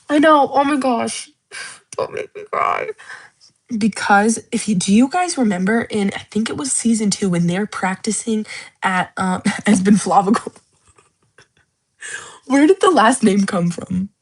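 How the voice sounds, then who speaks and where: A woman's voice: medium pitch, darkish tone. Gushing young woman livestreaming. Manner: gushing and emotional, rambling, repeats herself. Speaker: livestream host. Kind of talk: casual livestream chat about a book series.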